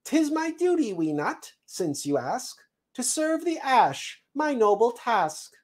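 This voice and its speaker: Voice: mid-range voice, sing-song, slow pace, pitched above the performer's own voice. A performer with an unclear, mid-range voice voicing a proud, chirpy squirrel messenger in rhyming storybook verse. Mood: dutiful. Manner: chirpy, theatrical rhyming speech.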